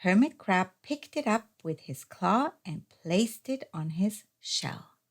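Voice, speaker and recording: A woman reading a children's story aloud as narrator, in a deliberate, expressive storytelling manner. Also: mid-range voice. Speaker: storybook reader.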